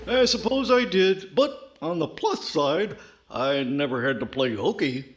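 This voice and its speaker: A man playing a dim-witted, good-natured country-bumpkin dummy with a slow, hesitant, dopey drawl, in 1940s radio comedy style. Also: fairly high pitch, expressive. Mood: dopey, cheerful.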